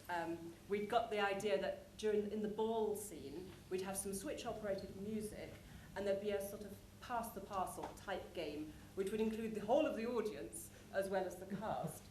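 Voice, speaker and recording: A woman giving an informal conference talk, chatty and anecdotal as she describes a show. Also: medium pitch. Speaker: conference presenter. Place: conference hall. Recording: echoey room, clear background noise.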